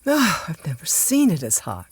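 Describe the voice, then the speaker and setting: A woman acting a cold, commanding plotter in a radio drama, deliberate and theatrical. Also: low voice, huge pitch swings, slightly rough, no room sound, heavily acted. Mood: tense. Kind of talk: dramatic first-person radio drama narration.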